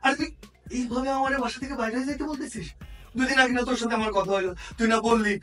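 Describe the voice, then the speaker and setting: An actor with a Bangladeshi accent playing a pushy, effusive village visitor who acts like an old friend in a short-film drama. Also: male voice, very high-pitched, quick pace, sharp tone. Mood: indignant. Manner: effusive, insistent, colloquial. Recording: distant mic with background music.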